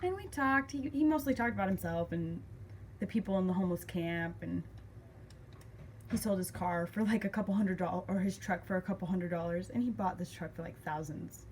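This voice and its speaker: A woman's voice: lowish voice, brisk, a little mellow. A young woman telling a personal story casually and emotively, acting out remembered conversations as she goes. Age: young adult. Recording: clear background noise.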